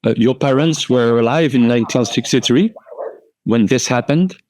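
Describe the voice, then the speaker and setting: A man's voice: mid-range voice, moderate pace, a little mellow. A man putting hesitant questions to a guest on a podcast. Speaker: interviewer.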